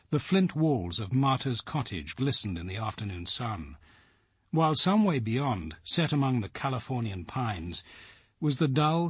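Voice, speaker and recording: A reflective British narrator, low and husky. He describes things in composed, measured literary phrases, recorded dry and close. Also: dry recording. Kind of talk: scripted first-person literary narration in radio drama.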